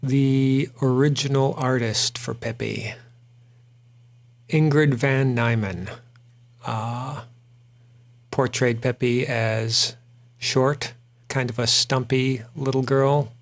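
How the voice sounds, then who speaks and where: A man hosts a drawing show, talking while he sketches. His pitch sits in the middle, and he speaks slowly in a relaxed, rambling way, filling pauses with "uh". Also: gravelly. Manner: relaxed and rambling, frequent "uh" fillers. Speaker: artist and host.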